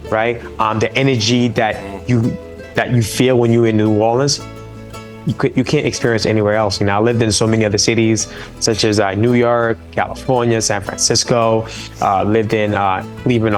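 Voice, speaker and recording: A man's voice: lowish voice, flat delivery, normal speed, faint music behind. A man from Senegal, a chef guest on a live talk show, talking enthusiastically over a remote link. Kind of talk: remote interview.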